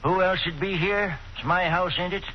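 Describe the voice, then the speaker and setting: A man's voice: high-pitched, normal speed, close to an everyday voice. An actor playing a curt, sardonic old assayer in a 1940s radio drama, folksy in his talk. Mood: gruff. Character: gruff old male small-town mine assayer. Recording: muffled old radio broadcast with faint hiss.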